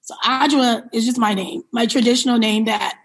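A woman's voice: a little high, fairly even intonation, normal speed, husky. A woman who grew up in New York City, answering in a conversational interview as a company founder, reflective and explanatory. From born in Liberia, grew up in New York City.

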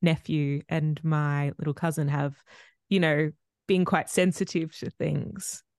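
Soft-spoken woman podcast host, dry sound. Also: low-pitched, unhurried, clear, resonant tone, no room sound. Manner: warm scripted intro then relaxed chat with fillers. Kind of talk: casual interview chat.

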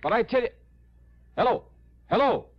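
An American actor playing an easygoing, flustered freelance investigator in an old radio drama. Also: male voice, extremely high pitch, expressive, moderate pace, noisy background. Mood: harried. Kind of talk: 1950s-60s American radio drama acting. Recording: dull old radio broadcast.

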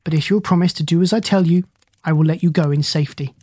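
A woman reads a kindly animal doctor in a children's storybook. He is polite yet morally stern, giving measured orders in a slightly husky voice with no room sound. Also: low voice, warm tone, no room sound. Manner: measured, firm, polite commands.

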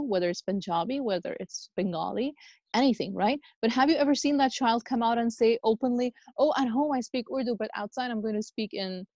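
A woman's voice: on the high side, darkish tone, no echo, muffled recording. A woman from the United States speaking as an interview guest in an earnest, conversational way, emphatic and fond of anecdotes and rhetorical questions. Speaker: podcast guest, Islamic educator and youth workshop leader.

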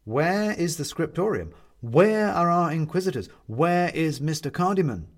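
A man voicing a cheerful, absent-minded storybook gentleman, speaking in a grandiloquent, excitable and sometimes faltering way. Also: fairly high pitch, moderate pace, voice pushed up. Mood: urgent, declaiming. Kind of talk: storybook character reading.

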